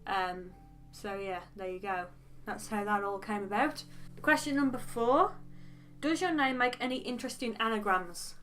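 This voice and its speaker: A woman's voice: medium pitch, normal speed, fairly bright tone, slight background noise. A young woman from the English Midlands with a West Midlands accent, chatting frankly and rambling with asides in a casual get-to-know-me vlog. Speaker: beauty vlogger.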